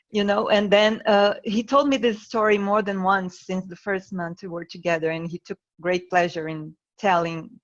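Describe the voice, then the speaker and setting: A woman from Brazil with a Brazilian Portuguese accent, a non-native English speaker, calling in hesitantly with nervous laughs. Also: mid-range voice. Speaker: caller. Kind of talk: casual call-in conversation. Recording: slightly dull call audio.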